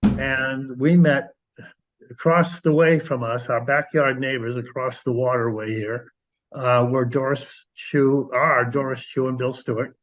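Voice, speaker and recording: An older American man giving a reflective personal testimony in a halting, measured way. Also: medium pitch, steady tone, fairly bright tone, muffled recording. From United States. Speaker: congregation member.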